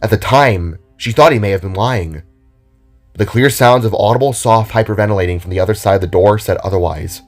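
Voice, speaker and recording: A man's voice: fairly low pitch, a little fast, faint music behind. A man reading scripted, dramatic narration for an audio drama story.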